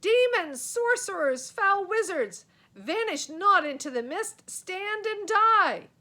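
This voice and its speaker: A woman's voice: very high-pitched, sing-song, slow, bright, forceful tone, voice pushed up, heavily acted. A woman voicing a furious, blustering male knight, a storybook villain who bellows archaic threats.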